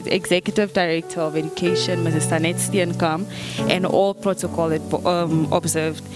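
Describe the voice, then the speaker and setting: Young woman, 18, student speaker, earnest, telling a story, faint music behind. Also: mid-range voice. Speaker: student speaker, deputy head girl. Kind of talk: speech at a book launch.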